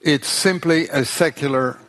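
Man, preacher, somewhat high voice, very measured pace, rhetorical, short sermon phrases. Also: fairly bright tone. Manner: deliberate, rhetorical. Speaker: preacher giving a lecture.

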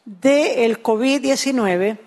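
A woman's voice: a little high, slow pace, a little mellow. Earnest woman interpreting a sermon.

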